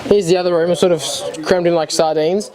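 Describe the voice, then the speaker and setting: A man's voice: on the high side, a little fast, a crowd in the background. An Australian man chatting casually as a vlogger. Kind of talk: casual vlog chat. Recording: noisy handheld vlog audio.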